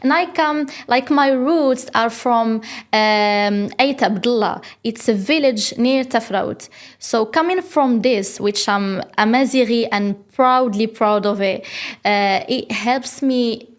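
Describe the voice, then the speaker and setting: Young woman from morocco on a podcast, rambly, lots of "uh" and "if you get me", highish voice. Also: takes it slowly. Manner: chatty with frequent fillers and restarts, says "if you get me". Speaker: guest model and influencer. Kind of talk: casual interview answers.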